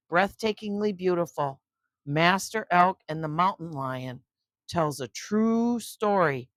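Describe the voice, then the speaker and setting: An older woman hosting story time for children, reading a picture book aloud and chatting gently to young listeners in between. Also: fairly low pitch, no echo. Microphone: close microphone.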